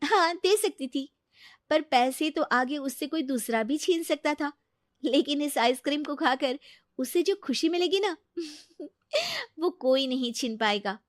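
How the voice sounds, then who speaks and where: A woman's voice: high voice, a little slow, no echo, clean studio sound, voice pushed up. A woman voicing a kind, headstrong, independent young woman in an audio story. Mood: cheerful.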